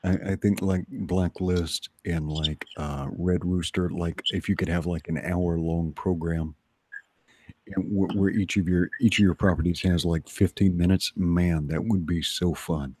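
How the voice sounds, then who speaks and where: A man's voice: low voice, fairly even intonation, unhurried, darkish tone, husky, no echo. A man chatting casually as a co-host on a livestream. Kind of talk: casual chat.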